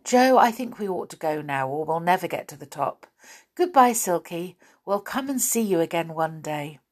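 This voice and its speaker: A woman's voice: lowish voice, lively intonation, moderate pace, a little mellow. A woman voicing a sensible, cautious young girl in a storybook, speaking in a fretful, pleading way. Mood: hurried.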